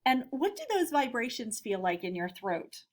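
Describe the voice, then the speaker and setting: American woman, slightly high voice, elementary teacher, read-aloud lesson, simple questions for young pupils. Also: big pitch swings, moderate pace. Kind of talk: instructional read-aloud for children.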